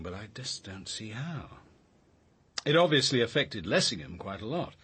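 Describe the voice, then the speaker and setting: Low, very husky, measured senior policeman. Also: fairly bright tone, full character voice. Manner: measured, thoughtful, articulate. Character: senior police officer, measured, analytical, reserved. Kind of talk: naturalistic radio drama acting.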